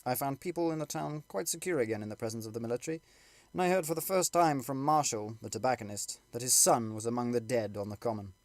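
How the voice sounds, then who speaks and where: A man's voice: mid-range voice, fast talker, no echo. A man reading a literary story aloud as scripted audiobook narration.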